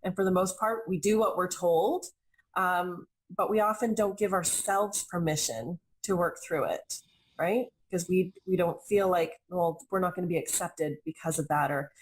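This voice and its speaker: Earnest woman with a low voice. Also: normal speed, rough recording. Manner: earnest, reflective, repeats phrases for emphasis. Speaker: intuitive reader and healer, guest. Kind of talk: conversational interview.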